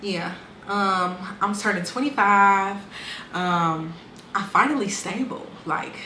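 A woman's voice: on the low side, sharp tone, reverberant, a little hiss or hum, rough recording. A woman in her twenties casually and candidly telling her life story, with lots of "um" and "like". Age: 20s. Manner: candid, conversational, frequent fillers and restarts.

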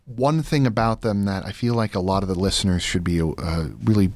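A man's voice: lowish voice, a little fast, a little mellow, no room sound. A man in his thirties talking earnestly and emphatically as an interview guest, close on a studio microphone. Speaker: podcast guest, audio drama creator. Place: small podcast studio, indoors. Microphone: close studio microphone with headphones.

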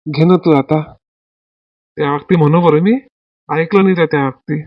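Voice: mid-range voice, fairly even intonation, normal speed, no echo, muffled recording, close to an everyday voice. A performer voicing a resigned, grumbling farmer husband in a family comedy cartoon. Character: weary, resigned village farmer husband and father.

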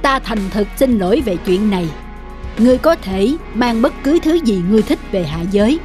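A woman plays a stately, generous cartoon deity. The voice is somewhat high, with a formal, solemn calm, over faint music. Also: moderate pace, close to an everyday voice. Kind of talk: animated folk-tale cartoon dubbing.

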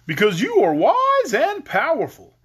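A man's voice: extremely high pitch, wildly swooping pitch, measured pace, a bit bright, voice pushed up, exaggerated voice. A man voicing a humble, eager living scarecrow in a storybook, earnest and polite. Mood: brave, hopeful. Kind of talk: storybook character reading.

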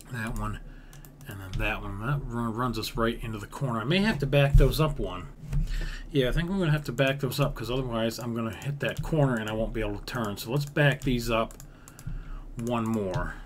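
A man's voice: medium pitch, normal speed. A man giving casual commentary as he builds in a video game, thinking aloud, repeating himself and using folksy grammar. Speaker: gaming video creator. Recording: background noise with keyboard typing.

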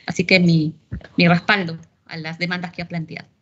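A woman's voice: on the low side, little pitch movement, a little fast, muffled recording, a little hiss or hum. A woman, a member of congress, making earnest, supportive remarks in a committee session, with some hesitant fillers.

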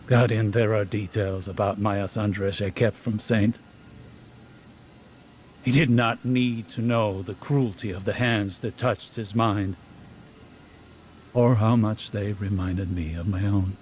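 An actor playing a solemn, reflective man devoted to his love, speaking gravely and eloquently in scripted game dialogue. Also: lowish voice, fairly even intonation, takes it slowly, darkish tone, a little hiss or hum.

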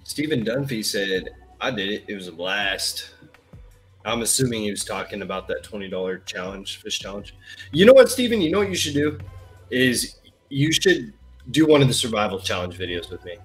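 A man's voice: on the low side, sing-song, normal speed, breathy edge, soft background music, low-quality recording. A young man hosting a casual livestream from an indoor room, chatting and reading viewer comments aloud on a headset mic. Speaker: livestream host. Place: indoor room, gaming chair.

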